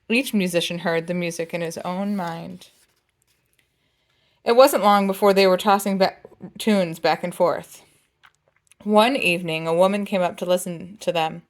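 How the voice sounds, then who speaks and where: A woman reading a picture book aloud as a storyteller, with playful, dramatic phrasing and jazzy sound effects. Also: lowish voice.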